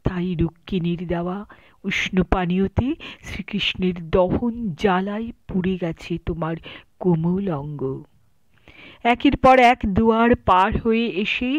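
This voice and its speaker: A woman recites poetry in a measured, expressive literary style, often softening into a breathy hush at phrase ends. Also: fairly low pitch, dry recording. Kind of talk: dramatic literary poem recitation. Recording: dull lo-fi, slightly muffled recording.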